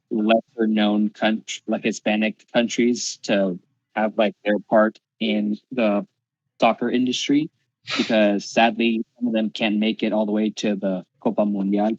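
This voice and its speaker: A man's voice: lowish voice, a little mellow, dry recording. A man giving casual explanations as a panelist in a discussion. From United States, family from Peru. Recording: dull, dry lo-fi audio.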